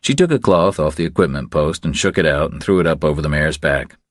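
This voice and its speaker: A man's voice: very deep voice, quick pace, no room sound, clean studio sound. A man reading a thriller audiobook as a scripted narration.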